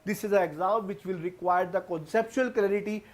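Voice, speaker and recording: A man's voice: sits high, brisk. A young man with an Indian English accent teaching an online exam-prep class, explaining step by step and often repeating himself. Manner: explains step by step, repeats phrases, addresses students as guys.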